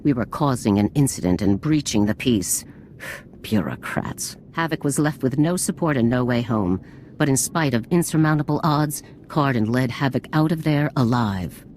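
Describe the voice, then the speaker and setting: An actress playing a stern female military general who gives brisk, authoritative orders. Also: extremely low pitch, expressive, darkish tone, slight background noise. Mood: commanding. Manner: brisk, authoritative, scornful of bureaucrats.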